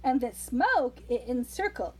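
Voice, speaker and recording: A woman reading a storybook aloud to young children, expressive and sing-song, adding playful asides straight to the listener. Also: fairly high pitch, measured pace.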